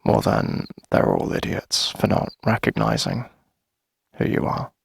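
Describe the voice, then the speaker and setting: A man playing a shy, friendly, flirty coffee shop owner in a gentle ASMR roleplay, speaking hesitantly in a husky, breathy voice. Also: rumbling low, wildly swooping pitch, no room sound, studio quality, exaggerated voice. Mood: awkward, flirty. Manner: hesitant, gentle, halting with soft chuckles. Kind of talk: soft conversational ASMR roleplay.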